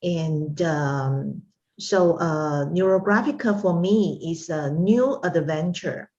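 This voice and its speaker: A middle-aged woman from Taiwan whose first language is Mandarin, speaking as a guest in an interview with frequent fillers and pauses. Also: deep voice, darkish tone, dull audio. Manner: hesitant, many pauses and fillers. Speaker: interview guest, art instructor. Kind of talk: casual interview conversation.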